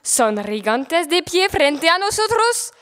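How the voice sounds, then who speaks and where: Casting: young female player for proud deluded errant knight, high voice, pompous declamation, student audio fiction. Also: big pitch swings, takes it slowly, fairly bright tone, long reverb tail, full character voice. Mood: defiant. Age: teenager playing the part. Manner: grandiose, declamatory. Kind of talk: amateur student audio drama.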